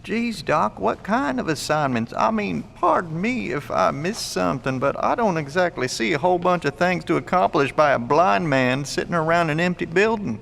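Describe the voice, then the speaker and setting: An actor playing a blunt, friendly survivalist in an audio drama, with a folksy, gravelly drawl. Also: male voice, high voice, animated, normal speed, few pauses, fairly bright tone, a little hiss or hum, full character voice. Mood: friendly, apologetic. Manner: folksy, colloquial, plain-spoken. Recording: long echoey reverb of an empty hall.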